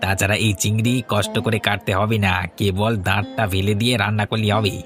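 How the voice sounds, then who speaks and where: A man's voice: lowish voice, little pitch movement, quick pace, music in the background, lightly acted. A man playing a brusque, pushy village fishmonger in a cartoon, familiar and persuasive as he sells. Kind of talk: Bengali cartoon voice acting.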